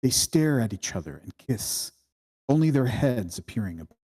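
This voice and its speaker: A man's voice: medium pitch, very animated, moderate pace, a little mellow, breathy edge. A man reading out scene directions as narrator at a screenplay table read.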